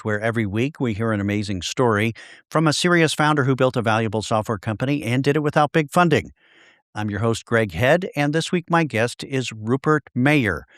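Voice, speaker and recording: Podcast host guy, smooth voice, chatty and upbeat, dry sound. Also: medium pitch, no echo. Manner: upbeat, conversational, some restarts. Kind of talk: podcast intro and interview. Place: home in Dallas.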